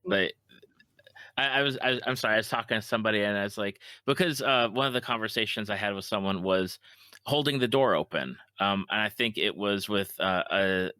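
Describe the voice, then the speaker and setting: A man with a fairly low but bright, forward voice hosts a casual podcast conversation. He speaks earnestly and haltingly, doubling back on words, in a very dry recording. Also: smooth, no echo. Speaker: podcast host, interviewer. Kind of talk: casual interview chat.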